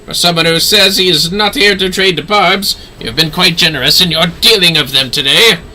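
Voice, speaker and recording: A man's voice: sits high, moderate pace, pushed and bright, a little hiss or hum. A man voicing a haughty, theatrical nobleman astrologer from a fantasy game, with florid, pompous delivery. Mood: haughty, dry.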